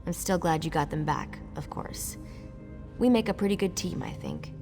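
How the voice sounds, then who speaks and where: A woman's voice: lowish voice, expressive, normal speed. A woman voicing a confident, wry scholar adventurer in a video game, with casual delivery. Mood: wry, friendly. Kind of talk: scripted game dialogue. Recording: long hall reverb. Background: game music.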